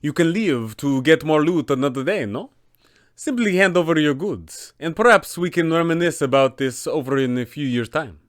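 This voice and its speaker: A man's voice: on the high side, lively intonation, moderate pace, dry recording, clean studio sound, close to an everyday voice. A man voicing a suave, roguish pirate captain with a flamboyant, mock-polite manner for a tabletop villain. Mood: smooth, courteous.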